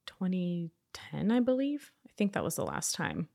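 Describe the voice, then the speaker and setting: A woman's voice: lowish voice, wildly swooping pitch, normal speed, gravelly, dark tone. A young woman from Ukraine, a guest in a casual interview, talking chattily with frequent laughs and fillers. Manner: chatty, laughs often, many fillers like "you know".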